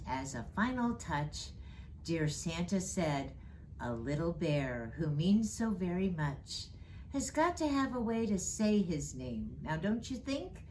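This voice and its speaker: A woman reading a children's storybook aloud in an expressive storytime style. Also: on the low side, a little hiss or hum. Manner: slow, expressive, rhyming read-aloud delivery. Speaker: storyteller reading as Mrs. Claus.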